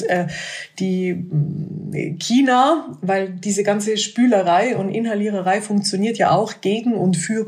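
A woman, speaking at a middle pitch. She tells stories lively and chatty, pausing on fillers as she thinks. She speaks as a physiotherapist in a relaxed podcast conversation. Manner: lively anecdotal storyteller with hesitant fillers. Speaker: physiotherapist of a national bobsleigh team. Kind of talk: casual podcast interview.

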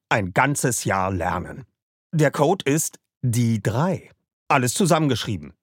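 Lively husky male podcast host, dry sound. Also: medium pitch, no echo. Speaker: podcast host reading a sponsor ad. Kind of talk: scripted host-read advertisement.

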